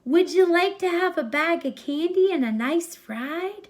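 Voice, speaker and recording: A woman's voice: extremely high pitch, expressive, measured pace, pitched above the performer's own voice. A woman voicing a battered male small-time kidnapper in a storybook, with a wry, folksy way of talking. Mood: coaxing. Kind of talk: storybook character reading.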